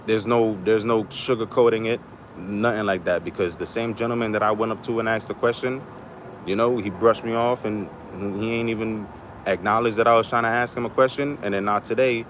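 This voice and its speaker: Low-voiced Trinidadian man ranting outdoors. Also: steady tone, a little fast, slight background noise. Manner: rambling, blunt, profane. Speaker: livestream host. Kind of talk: casual livestream rant.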